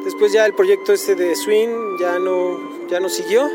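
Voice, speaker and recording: A man who plays music on the street, answering questions in a relaxed, rambling way. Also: high-pitched, a little slow. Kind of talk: casual interview answers.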